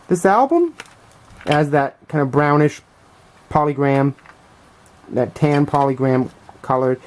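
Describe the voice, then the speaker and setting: Casual middle-aged man, halting and musing. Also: a little high, a little mellow, a little hiss or hum. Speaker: vlogger and music collector showing finds. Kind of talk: casual unscripted show-and-tell commentary.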